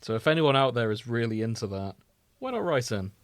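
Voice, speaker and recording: A man's voice: mid-range voice, sing-song, a little fast, no room sound. A man co-hosting a podcast, chatting in a casual, joking way. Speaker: podcast host. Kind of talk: casual comedic podcast chat.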